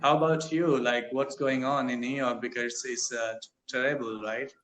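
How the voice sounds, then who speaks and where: A man from India, a native Hindi speaker, chats over a video call with a bright, sharp tone. He speaks hesitantly, restarts often and keeps checking in with tag questions. A faint hiss sits under his voice. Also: mid-range voice, steady tone, moderate pace, rough recording. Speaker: student on a language app. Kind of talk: casual video-call conversation.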